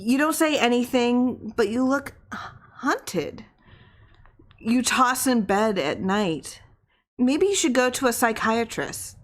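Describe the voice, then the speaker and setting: A woman reader plays a loving wife in a storybook, her voice lifted higher. She is tender and reassuring as she coaxes a troubled husband. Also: fairly bright tone. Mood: worried.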